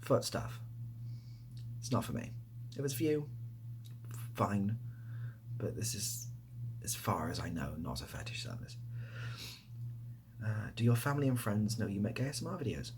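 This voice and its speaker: Young english guy doing softly spoken asmr chat, lowish voice, kinda rambly, clear background noise. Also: big pitch swings, normal speed, pauses often. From near Bath, England. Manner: soft-spoken, drifting into near-whisper, hesitant and rambling. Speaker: ASMR video creator.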